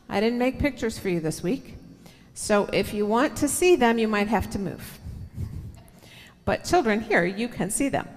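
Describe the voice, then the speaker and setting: A middle-aged woman reads aloud to children as a church storyteller. Her pace is slow and her pitch sits in an ordinary female range, with expressive lingering on words and conversational asides. She speaks into a headset mic, with long hall reverb around the voice. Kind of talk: reading a picture book aloud to children. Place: large church sanctuary, seated indoors. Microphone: headset microphone.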